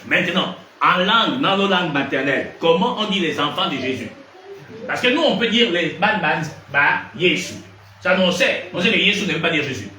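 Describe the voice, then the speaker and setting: A man's voice: sits high, lively intonation, a little fast, pushed and bright. A man from Gabon preaching an interactive sermon, asking his listeners questions and repeating his points. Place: room with listeners. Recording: echoey room, distant mic, noisy.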